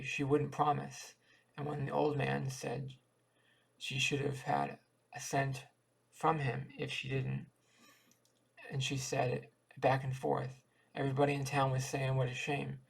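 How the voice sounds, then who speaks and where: A man's voice: medium pitch, creaky, slightly rough, low-quality recording. A man reading a folksy dialect short story aloud as an amateur audiobook reader, often stumbling and repeating words.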